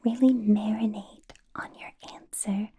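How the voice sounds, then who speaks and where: A woman playing a possessive, doting vampire boss in an ASMR roleplay, in a breathy whisper with a deliberate, coaxing, playfully menacing manner. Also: mid-range voice, fairly even intonation, dark tone, a little hiss or hum, close to an everyday voice. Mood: coy, secretive. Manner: slow, deliberate, coaxing, teasing.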